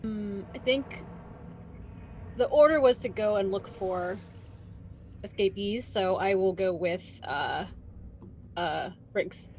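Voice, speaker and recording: A woman's voice: medium pitch, slow pace, pauses often, darkish tone, slight background noise, rough recording. A woman playing a tabletop game, chatting casually about her character with lots of fillers. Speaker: player.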